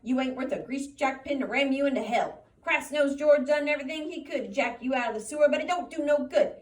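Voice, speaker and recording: A woman's voice: high voice, fairly quick, far from the mic, pitched above the performer's own voice, natural voice. Woman doing mocking giant rabbit, scornful drawl, roomy echo. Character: gigantic scornful imaginary rabbit, male, taunting.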